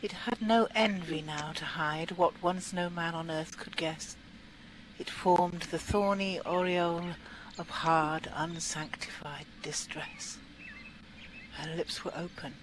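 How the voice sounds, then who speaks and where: A woman reading poetry aloud in an expressive, measured and dramatic manner. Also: on the low side, slight background noise, rough recording. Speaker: poetry reader.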